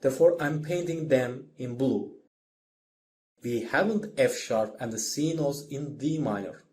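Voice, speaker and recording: Slow, mellow man giving a lesson. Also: mid-range voice. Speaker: music theory lecturer. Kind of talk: scripted instructional narration.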